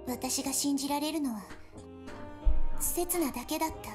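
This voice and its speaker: A woman playing an earnest, lonely amnesiac girl in a visual novel, speaking softly and hesitantly. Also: sits high, fast talker, natural voice. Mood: lonely, uneasy. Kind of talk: Japanese visual novel voice acting. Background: game music.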